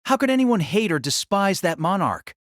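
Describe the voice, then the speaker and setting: A man's voice: high voice, very animated, moderate pace, dry recording, studio quality. A man narrating a novel as a dramatic audio story, in a solemn, theatrical manner.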